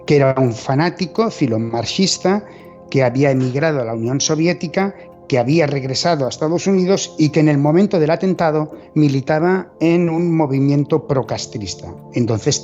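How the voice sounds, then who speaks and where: A male expert guest explains things in a dark, mellow tone at a slightly high pitch. He often checks with tag questions. The recording is a little dull. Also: expressive, moderate pace, faint music behind. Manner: seeks agreement with tag questions. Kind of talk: explanatory interview talk.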